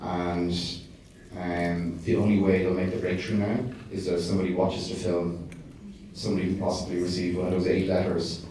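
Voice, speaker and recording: Soft-spoken man, distant echoey hall. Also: deep voice, level tone, moderate pace, a little hiss or hum. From Ireland. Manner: hesitant, many fillers and restarts. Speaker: documentary director. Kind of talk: festival Q&A answers. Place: screening hall.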